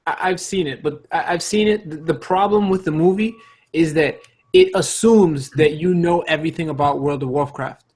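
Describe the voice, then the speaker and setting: A man's voice: a little high, moderate pace, a little mellow. A man chatting casually as a panelist on a video call, repeating phrases for emphasis. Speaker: podcast panelist. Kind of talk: casual discussion.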